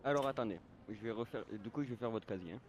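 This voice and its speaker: Rapid male gamer on muffled, noisy voice chat. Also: mid-range voice. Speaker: role-play player and server staff. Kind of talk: casual improvised game voice chat. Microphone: gaming voice chat. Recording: dull, noisy game voice chat.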